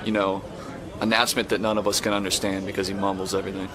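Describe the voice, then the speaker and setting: A man giving a mocking, deadpan comment. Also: deep voice, quick pace, a crowd in the background.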